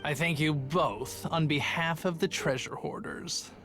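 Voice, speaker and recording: A man's voice: fairly high pitch, a little slow, over music. A man playing a cocky but cowardly bandit in an anime game, with a theatrical manner. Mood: smug. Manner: taunting, theatrical. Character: cocky male bandit, cowardly when cornered.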